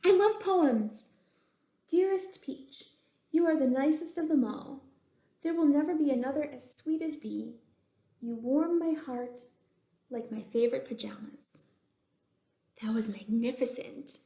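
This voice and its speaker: A woman voicing excitable, childlike little bugs from a picture book, exclaiming dramatically. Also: sits high, measured pace, pauses often. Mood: poetic, tender. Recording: roomy, echoey indoor sound.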